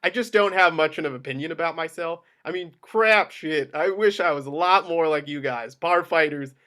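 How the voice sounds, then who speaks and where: Man acting half-drunk mob lawyer, forties, jittery, rambling, self-deprecating, slightly high male voice, amateur table read. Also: moderate pace, pushed and bright. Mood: rueful, confessional. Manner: rambling, stammering.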